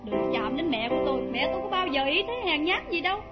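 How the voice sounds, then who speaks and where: An actress playing a dignified, long-suffering young wife in a stage melodrama, measured and plaintive, with a southern Vietnamese accent. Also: female voice, sits high, lively intonation. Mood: wounded, defiant. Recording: dull lo-fi recording over music.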